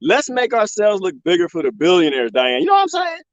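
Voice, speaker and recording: A man live streaming from the desert, joking and rambling in a loose, animated way. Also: high voice, fairly quick, no echo, highs cut off. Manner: animated, joking, imitates bird chirps. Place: outdoors in the desert.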